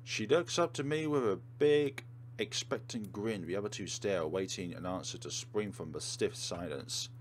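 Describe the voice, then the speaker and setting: A man's voice: lowish voice, moderate pace, slight background noise. A man hosting a let's play, reading game narration aloud and adding casual commentary.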